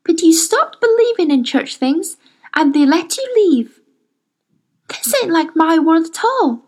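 A woman's voice: very high-pitched, sing-song, measured pace, darkish tone, voice pushed up, heavily acted. A woman reading aloud, voicing an eager, desperate young girl who pleads earnestly. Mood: amazed.

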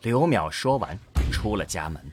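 Male narrator for scripted science fiction audio drama. Animated, expressive storytelling, faint music bed. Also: fairly low pitch, normal speed.

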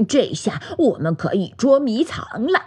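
A woman's voice: on the high side, sing-song, slow pace, breathy edge, heavily acted. A woman voicing a playful, enthusiastic little pig in a children's storybook, insistent and coaxing. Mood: excited.

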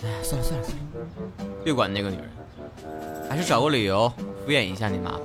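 A man's voice: on the low side, expressive, fast talker, music in the background, lightly acted. A man acting a lazy, exasperated teenage gamer in an amateur audio drama, grumbling and put-upon.

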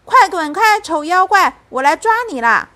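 A woman's voice: high voice, expressive, unhurried, exaggerated voice. A woman voicing a small, frightened but earnest boy in a bedtime storybook, with a hesitant, breathless manner. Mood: bold, shouting. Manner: breathless, hesitant, hushed when scared.

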